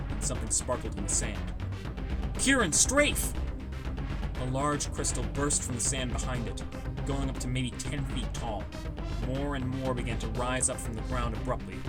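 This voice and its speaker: A man reading a scripted first-person fantasy story as an audiobook narrator, with music behind him. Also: medium pitch.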